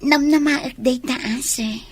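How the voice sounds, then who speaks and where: A woman's voice: high-pitched, measured pace, slightly rough, no echo. A woman playing a coy young student in a radio comedy drama. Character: Bianca, coy young woman student charming an older benefactor.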